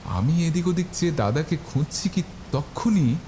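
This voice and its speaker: A man's voice: a little high, lively intonation, moderate pace, mellow, a little hiss or hum. A man narrating a scripted first-person horror story. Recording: faint music behind the voice.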